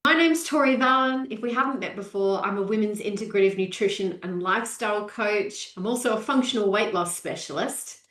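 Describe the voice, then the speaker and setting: A woman's voice: mid-range voice, brisk, hardly any pauses, fairly bright tone, roomy sound. A woman in her forties, a nutrition coach, hosting a live-stream training and greeting viewers by name in an upbeat way. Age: 40s.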